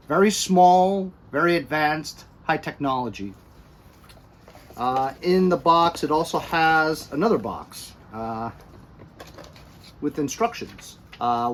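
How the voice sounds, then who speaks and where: Middle-aged American male reviewer, fairly high voice, casual and chatty, light background noise. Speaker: product reviewer. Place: cluttered garage or workshop.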